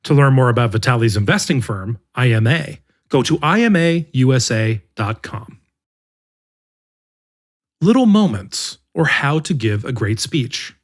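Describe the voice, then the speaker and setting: A man narrating an article in the first person as the regular reader of a podcast, with a measured, expressive delivery. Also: mid-range voice. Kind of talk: scripted narration.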